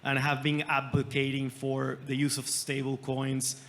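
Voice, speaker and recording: A man's voice: mid-range voice, completely flat delivery, a little slow, fairly bright tone. A man from Venezuela introducing himself as a panelist at a conference, upbeat, with frequent fillers. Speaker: panelist, startup founder. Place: conference stage.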